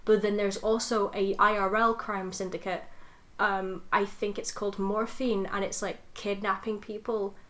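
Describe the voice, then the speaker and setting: A woman's voice: mid-range voice, little pitch movement, normal speed, a bit bright. A woman co-hosting a podcast, explaining in casual, rambling storytelling with wry asides. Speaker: podcast co-host.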